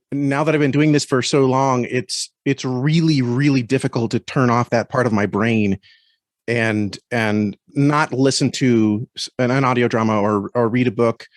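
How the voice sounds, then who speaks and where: A man talking casually as an interview guest, earnest and reflective, with halting phrasing. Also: mid-range voice, no echo, studio quality. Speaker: podcast guest and story critic.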